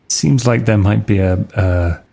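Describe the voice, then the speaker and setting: Low, slow-spoken, hesitant man. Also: gravelly, dark tone. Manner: hesitant, halting. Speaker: player in a tabletop game. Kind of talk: casual game talk.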